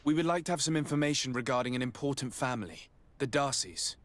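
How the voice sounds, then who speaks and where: An actor playing a diplomatic, measured and formal nobleman in a video game. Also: medium pitch, a bit bright, natural voice. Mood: polite. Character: composed, diplomatic young noble envoy. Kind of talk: dramatic video game dialogue. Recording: faint outdoor ambient noise behind.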